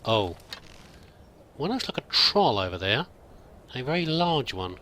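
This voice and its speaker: A man's voice: mid-range voice, very animated, unhurried, sharp tone, a little hiss or hum. A man giving in-character let's play commentary, musing aloud and muttering to himself as he goes. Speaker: host of a roleplay let's play.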